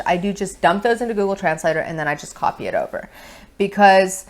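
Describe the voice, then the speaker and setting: A woman in her thirties chatting casually on a couch, giving seller advice in an explanatory way, recorded with a lapel mic. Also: lowish voice. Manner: chatty and explanatory, lots of fillers. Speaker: co-host, online seller. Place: indoor living room on a couch.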